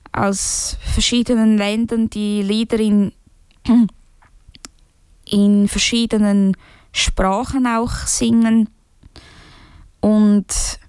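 A young woman speaking in a group, slow and halting with many fillers and restarts. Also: medium pitch, smooth, no echo. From Switzerland. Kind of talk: unscripted personal talk.

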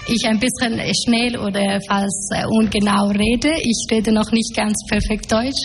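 Twenties woman from Afghanistan, panel activist, halting delivery, reverberant hall, faint hiss. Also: on the high side. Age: 20s. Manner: hesitant, many fillers, searching for words. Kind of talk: public panel talk. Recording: echoey hall, faint noise.

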